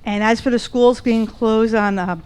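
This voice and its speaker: A woman's voice: a little high, steady tone, moderate pace, a little mellow, clear, resonant tone. A woman speaking at public comment in a town council meeting, direct and asking questions. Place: council chamber.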